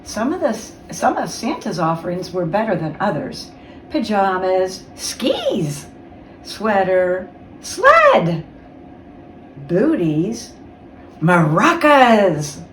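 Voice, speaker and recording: Slow, lively woman reading a storybook. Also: medium pitch. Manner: expressive, dramatic storytelling. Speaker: guest reader. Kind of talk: children's storybook read-aloud. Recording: roomy, distant mic, faint noise.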